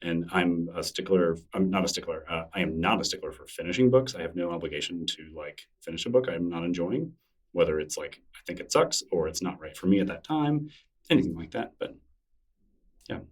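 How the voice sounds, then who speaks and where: A man's voice: deep voice, expressive, a little fast, husky, distant mic. A man being interviewed in casual conversation, thoughtful and rambling, full of fillers and restarts. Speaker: interview guest, newsletter creator.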